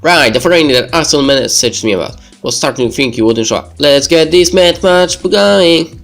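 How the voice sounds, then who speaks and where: A man's voice: fairly high pitch, lively intonation, normal speed, vocal fry, fairly bright tone, soft background music, pitched above the performer's own voice. A man voicing a cocky, goading teenage boy who is a pro gamer in a visual novel. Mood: impatient, cocky.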